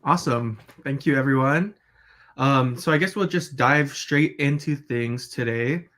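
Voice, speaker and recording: A man's voice: mid-range voice, a little slow. Young guy from hawaii hosting a podcast, laid back, lots of ums. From Kauai, Hawaii. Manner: relaxed, hesitant, many fillers. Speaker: podcast host. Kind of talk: casual podcast chat.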